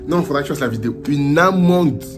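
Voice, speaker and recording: A young man from France giving casual travel advice in a lively vlog, dropping Portuguese phrases as he talks. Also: on the high side, fast. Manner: chatty and animated, slips into Portuguese phrases. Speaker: vlogger. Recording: music playing under the voice.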